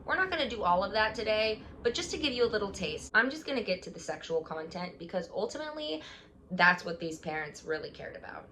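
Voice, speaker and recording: A young woman vlogging in a casual, sarcastic way, reading out a review and mocking it with quick asides. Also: medium pitch, big pitch swings, sharp tone, slight background noise.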